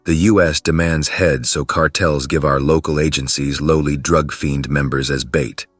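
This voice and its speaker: Very deep-voiced man reading stories. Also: fairly even intonation, moderate pace, dry recording. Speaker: narrator reading forum posts. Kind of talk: scripted narration. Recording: music underneath.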